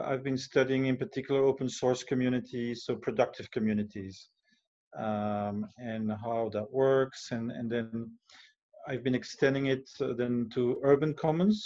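A man's voice: mid-range voice, darkish tone. A man from Belgium introducing his work on a video call, thoughtful and hesitant, with many fillers. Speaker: foundation founder. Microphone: headset on video call. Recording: dull lo-fi video call audio.

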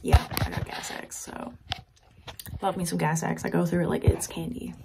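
A woman's voice: lowish voice, little pitch movement, moderate pace, gravelly. Giggly chatty young woman, noisy home. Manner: chatty, giggly and candid, with frequent laughs and filler "like". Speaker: vlogger. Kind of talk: casual vlog chat. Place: home, with laundry running.

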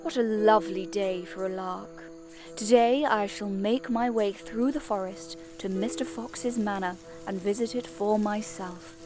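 A woman's voice: medium pitch, measured pace, over music, close to an everyday voice. A woman playing a restless, genteel sixteen-year-old girl in a period audio drama, with ornate formal diction. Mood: bright resolve. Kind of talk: audio drama acting and storytelling.

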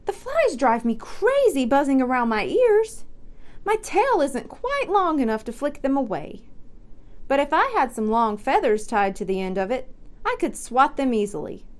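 Woman doing an exasperated, high-pitched storybook donkey. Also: very animated, moderate pace. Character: pestered male donkey, exasperated but reasonable.

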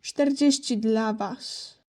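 Woman voicing a high, soft-toned snide little wolf. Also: extremely slow. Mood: cool, confident. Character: small young male wolf, sweet-looking but snide, guarded. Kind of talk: Polish amateur audio drama acting.

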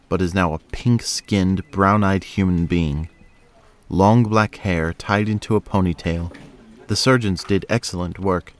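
Low-voiced male audio drama narrator.

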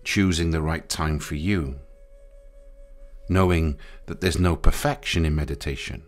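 A man guiding a meditation in a soothing, unhurried way, pausing between short phrases. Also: extremely low pitch, steady tone. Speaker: meditation guide. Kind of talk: guided meditation. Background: music.

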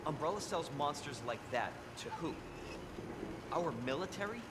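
A man's voice: fairly high pitch, expressive, normal speed, close to an everyday voice. A man playing an earnest, stubborn young rookie cop in a video game, blunt and determined, with an American accent. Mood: incredulous. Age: young adult. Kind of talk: cinematic video game acting. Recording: noisy underground ambience behind.

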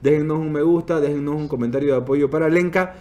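A man, hosting a podcast interview in a casual, teasing way and laughing easily, speaking into a desk mic in a dark studio. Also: on the high side, quick pace. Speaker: podcast host. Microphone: desk mic on boom arm.

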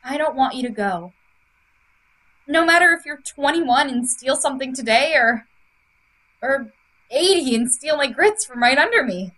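A woman's voice: sits high, lively intonation, normal speed, long pauses, fairly bright tone, rough recording. A woman acting a devoted, sentimental young best friend in an audio drama, over music. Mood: heartfelt. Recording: music under the voice.

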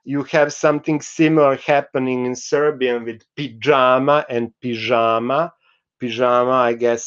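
Slow-talking male lecturer. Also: mid-range voice, clean tone, lo-fi sound. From Croatia. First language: Croatian. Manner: slow, explanatory, with frequent fillers. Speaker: university lecturer. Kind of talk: recorded online lecture. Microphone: video call webcam.

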